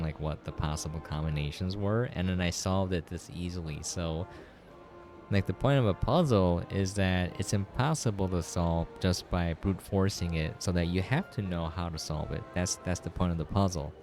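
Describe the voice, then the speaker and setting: A man giving casual gameplay commentary, halting and muttering, in a mildly critical way. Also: sits low, steady tone, a little mellow, soft background music. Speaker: game reviewer playing on a recording.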